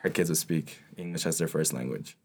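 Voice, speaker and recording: A man's voice: rumbling low, fairly quick. A man in his twenties from Ecuador, upbeat and playful, chatting as a guest on a podcast. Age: 20s. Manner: upbeat, playful, joking along. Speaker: intern. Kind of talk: casual podcast chat.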